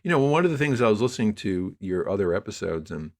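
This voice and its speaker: A man's voice: on the low side, very animated, quick pace, a little mellow. An American man in his sixties, speaking reflectively and discursively as a guest in a conversational interview. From New York area, United States. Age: 60s. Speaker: interview guest, media producer.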